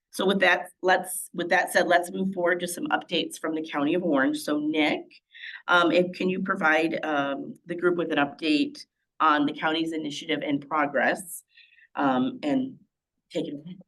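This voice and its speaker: An older woman with a cold, clearing her throat as she formally opens a public task force meeting as its chair. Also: deep voice, poor audio. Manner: steady, formal, with many ums. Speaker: city council member chairing a meeting. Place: meeting room.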